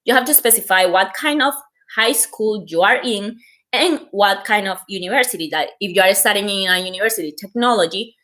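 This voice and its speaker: A woman's voice: mid-range voice, brisk, bright, forceful tone. A woman from Costa Rica with a Costa Rican Spanish accent, explaining things informally on a livestream and stressing key points with frequent filler words. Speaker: host giving application advice.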